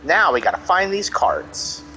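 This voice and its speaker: Bright-voiced man chatting through a game. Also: high-pitched, big pitch swings, unhurried, a little hiss or hum, poor audio. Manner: self-deprecating, chatty, mutters to himself. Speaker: let's play gamer. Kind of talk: casual gameplay commentary. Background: game music.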